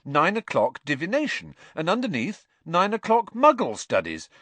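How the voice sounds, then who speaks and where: A cheeky teenage boy gasps in disbelief and teases, as a man puts him on. The voice jumps high and swoops around with lively energy, close and dry. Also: moderate pace, no echo, exaggerated voice. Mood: mocking. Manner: incredulous, jokey, animated. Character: lanky teenage schoolboy, incredulous and cheeky.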